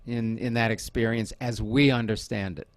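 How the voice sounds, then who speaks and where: Gravelly man thinking aloud, dry close sound. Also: mid-range voice, fairly even intonation, no room sound. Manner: halting, repeats and rephrases thoughts. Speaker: interview guest, audio producer and director. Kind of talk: conversational, discursive explaining.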